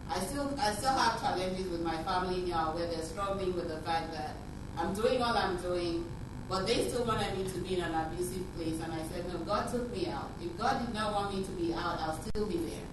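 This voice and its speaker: A woman's voice: lowish voice, normal speed, far from the mic, noisy background. Earnest woman from Nigeria in an echoey room. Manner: earnest, urging, repeats key phrases. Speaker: speaker. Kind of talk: public talk. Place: conference room. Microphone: handheld mic.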